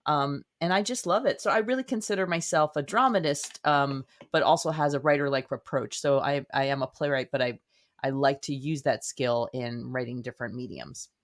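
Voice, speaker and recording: A woman's voice: rumbling low, normal speed. Effusive woman as an interview guest. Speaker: guest, playwright. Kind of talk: conversational interview.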